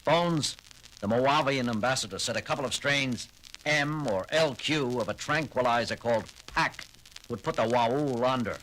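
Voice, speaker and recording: A man's voice: medium pitch, moderate pace, a bit bright, slight background noise, lightly acted, rough recording. An actor playing a commanding male starship captain who gives clipped orders.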